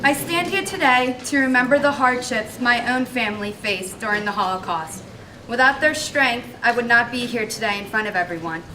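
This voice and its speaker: A young woman, a student speaker, delivering a prepared speech in an earnest, measured way. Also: on the high side. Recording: distant mic, faint noise.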